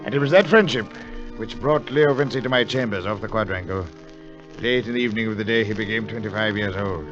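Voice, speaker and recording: A man acts a bookish, middle-aged English professor from an old radio drama. His voice sits fairly low, with an avuncular, scholarly way about it. The sound is dull and vintage, with music underneath. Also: a little fast, close to an everyday voice. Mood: reflective, ominous. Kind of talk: 1940s radio drama acting, first-person narration and dialogue. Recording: muffled vintage radio recording, music behind.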